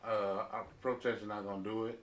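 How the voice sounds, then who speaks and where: A man's voice: fairly low pitch, level tone, a little fast. An American man talking casually and emphatically in a round-table discussion, repeating phrases for stress. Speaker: podcast panelist. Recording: roomy echo, distant from mic.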